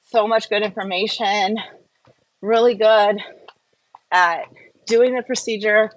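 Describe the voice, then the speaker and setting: A woman's voice: mid-range voice, steady tone, takes it slowly, dull audio. A woman hosting a casual live Q&A, talking in an informal, rambling, chatty way. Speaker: live-stream host.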